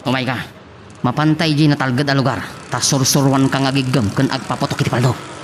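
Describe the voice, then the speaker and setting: A man's voice: medium pitch, steady tone, fairly quick, slight background noise, close to an everyday voice. A man playing a cocky, chummy friend who coaches his pal in an Ilocano audio drama. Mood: urging. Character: cocky, laughing buddy teaching a friend to shoot.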